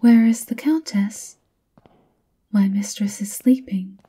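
A woman playing a subservient, coolly formal maid of a vampire countess in a whispered ASMR roleplay, speaking softly and politely with measured, breathy words. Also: mid-range voice, steady tone. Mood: politely servile.

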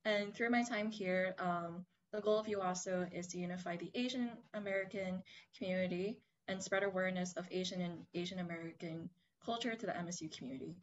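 A young woman, a college student, introducing herself on a panel over a video call in a slightly shy, measured way. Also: fairly low pitch. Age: young adult. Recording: dull lo-fi video-call audio with faint hiss.

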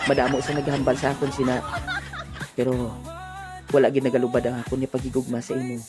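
A woman's voice: very deep voice, little pitch movement, quick pace, over music. A woman playing a cheerful village sweetheart in a comic audio drama, with a Hiligaynon accent. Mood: playful, affectionate.

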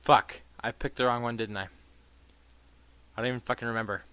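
A young man riffing his way through a game, crude, rambling and self-mocking, his voice jumping into loud outbursts. His pitch sits in the middle range. Loud dubstep game music pumps underneath him. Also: fairly even intonation, brisk. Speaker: gaming commentator. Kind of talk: casual let's-play commentary. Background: electronic dubstep game music.

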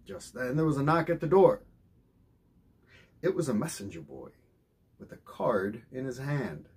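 A middle-aged man narrating a children's bedtime story in an expressive, theatrical way. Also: medium pitch, a little slow, slightly rough. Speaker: storybook reader.

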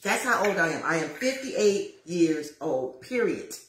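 A woman in her fifties chatting to viewers on a livestream while cooking in her home kitchen, lively and preachy, calling out to them as y'all. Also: fairly low pitch, unhurried, background noise. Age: 50s. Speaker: lifestyle vlogger. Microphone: phone camera at arm's length. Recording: roomy kitchen echo.

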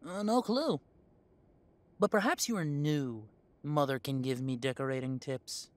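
A man voices a defiant young werewolf heir. He pitches fairly high for a male and sounds sarcastic and indignant, in audio roleplay style. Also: unhurried, no echo, lightly acted. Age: young adult. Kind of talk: audio roleplay drama acting.

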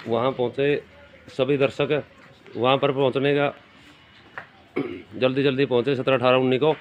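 Man, Haryanvi accent, medium pitch, emphatic livestock presenter, repetitive, muffled phone sound, faint noise. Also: level tone, normal speed. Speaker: livestock video presenter and interviewer. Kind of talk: informal on-location commentary. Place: open farmyard with brick walls.

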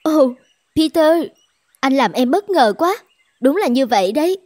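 A woman voicing a sweet, sensitive young village beauty in love, in an animated fairy tale. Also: high-pitched, a little slow, no room sound, clean studio sound. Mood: sweet, delighted.

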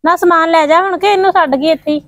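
A woman playing a quick-tempered, scolding sister-in-law in a family drama. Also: very high voice, fairly bright tone, dry recording. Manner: scolding, blunt and bossy. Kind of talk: village family drama acting.

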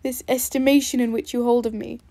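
A woman voicing a proud, wealthy young gentleman, speaking quietly in a clipped, contemptuous way. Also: a little high, flat delivery, brisk, dry recording, natural voice. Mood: cold restraint.

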